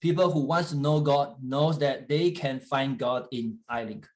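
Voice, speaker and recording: A man preaching in English, which is not his first language, pausing often with fillers and restarts while an interpreter translates. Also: medium pitch, steady tone, a little mellow. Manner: halting, with frequent fillers and restarts. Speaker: guest church leader. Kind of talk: sermon in English with live interpretation.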